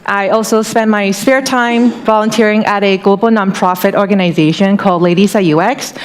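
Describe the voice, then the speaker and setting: A woman from Taiwan speaks from a conference stage in a relaxed, chatty way, often turning to the audience with questions. Her voice carries a long reverb from a large hall. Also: medium pitch, a little fast. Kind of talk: conference talk.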